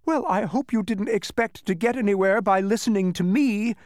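A man voicing a small, furry, insincere demon from a storybook, with emphatic, drawn-out proclaiming. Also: high voice, animated, no room sound, clean studio sound, pitched above the performer's own voice, full character voice. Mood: gleeful, boastful. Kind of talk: storybook character voice.

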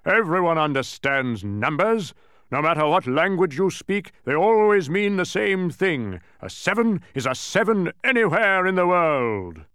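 A pompous, touchy wizard of numbers from a storybook, acted out by a man. His delivery is emphatic and declamatory, with a very animated melody. The sound is close and dry. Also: medium pitch, moderate pace, few pauses, clear, resonant tone, dry recording, close to an everyday voice. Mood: indignant, bellowing. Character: pompous, touchy male mathematician wizard, thundering and stubborn.

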